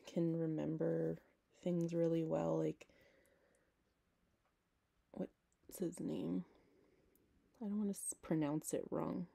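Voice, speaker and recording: A young woman with a hoarse, heavily gravelly voice, talking to her webcam at home. Her tone is dark and husky, and she rambles with plenty of "like" and restarts. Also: deep voice. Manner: rambling, self-interrupting, frequent "like". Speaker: vlogger. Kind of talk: casual talk to camera. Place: small home room, indoors.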